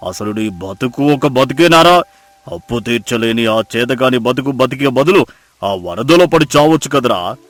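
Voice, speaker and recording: A man's voice: medium pitch, a bit bright, natural voice. A man playing an arrogant, cruel village moneylender in a moral story, speaking in a sneering, threatening way. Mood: threatening, contemptuous. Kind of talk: dramatised moral story acting.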